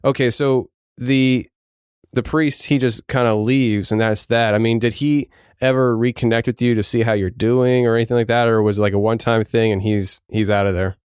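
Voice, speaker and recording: A man's voice: mid-range voice, fairly even intonation, moderate pace, a little mellow, clear, resonant tone, dry recording, clean studio sound. A man hosting a podcast, asking a guest questions in a casual, conversational style. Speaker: podcast host, interviewer.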